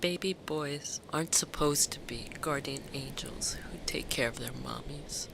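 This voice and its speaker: A woman's voice: a bass voice, measured pace, creaky, heavy background noise, full character voice. A woman playing a grieving, self-blaming but determined mother in an audio drama monologue, speaking in a raw, confessional way. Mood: hushed grief. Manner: raw, confessional, swearing, swinging from hushed to intense.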